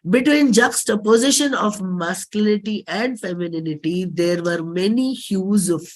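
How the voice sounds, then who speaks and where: Indian woman performer for a dramatic play reading; lowish voice, slow, weighty declamatory delivery. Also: poor audio. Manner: deliberate, declamatory.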